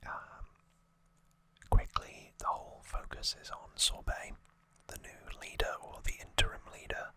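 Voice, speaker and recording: An ASMR host speaks entirely in a bright, crisp-edged whisper. The delivery is very unhurried and unscripted, with a hesitant, conversational way of retelling things. The voice sits close to the microphone. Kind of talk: whispered ASMR trivia recap.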